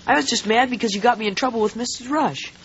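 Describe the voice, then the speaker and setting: A woman's voice: fairly high pitch, fast, slight background noise, natural voice. A woman voicing an awkward, self-conscious schoolboy who stammers, in a radio drama. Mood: earnest. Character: nervous, awkward popular schoolboy. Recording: slightly dull radio drama recording.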